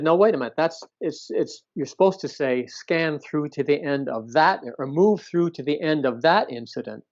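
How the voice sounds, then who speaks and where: A man being interviewed, telling stories from his past conversationally, in a halting way with repeated words and rephrasing. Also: on the high side. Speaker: interview guest. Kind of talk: conversational recounting of past experiences. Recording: dull, lo-fi sound.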